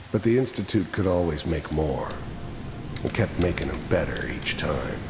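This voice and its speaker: A man playing a hardened, cynical mercenary in a video game, speaking in a deadpan, menacing way. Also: very deep voice, roomy sound, noisy background, heavily acted. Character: hardened cynical male mercenary, cold gravelly bounty hunter. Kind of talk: video game voice acting, reflective narration.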